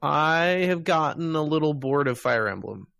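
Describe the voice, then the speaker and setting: A man's voice: a little high, animated, normal speed, creaky, a bit bright, dry recording. American man hosting a casual chat, dry sound. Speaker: podcast host. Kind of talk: casual chat about games and media.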